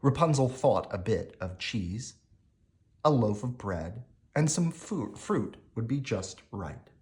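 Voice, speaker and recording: A man reading a children's bedtime story aloud in an animated, emphatic way. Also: medium pitch, slow pace. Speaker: storybook reader.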